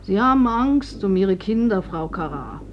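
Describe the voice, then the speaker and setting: A woman's voice: mid-range voice, lively intonation, unhurried, close to an everyday voice. An actress playing a sorrowful, kindly old village woman in a radio drama, confiding hesitantly. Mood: sorrowful, gentle. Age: older. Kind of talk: classic German radio drama acting. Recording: dull, faintly noisy old radio recording.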